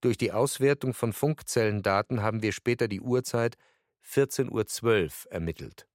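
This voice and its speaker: A sober man's voice , performed by an actor, rather low and dryly recorded. He delivers court protocol in a formal, factual way for a documentary radio drama. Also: normal speed, no echo, clean studio sound, close to an everyday voice. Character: sober male courtroom witness and lawyer reading testimony.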